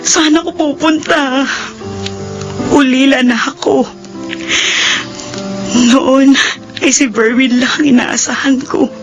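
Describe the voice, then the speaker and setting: A woman's voice: sits high, steady tone, slow, pauses often, music in the background. A woman playing a distraught, orphaned young woman cast out by her lover, wailing and pleading in melodramatic radio drama style. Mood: despairing.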